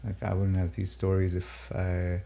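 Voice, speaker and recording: A low, mellow man with a gravelly edge speaks slowly in a reflective interview. He is a native Urdu speaker from Pakistan and the UAE. He pauses and restarts often as he thinks aloud about his music. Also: monotone, a little hiss or hum. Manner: thoughtful, halting, many fillers and restarts.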